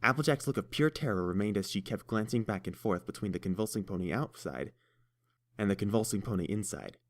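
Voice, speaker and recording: A man narrating a fan-fiction storybook in a steady, measured way. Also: lowish voice. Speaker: audiobook reader.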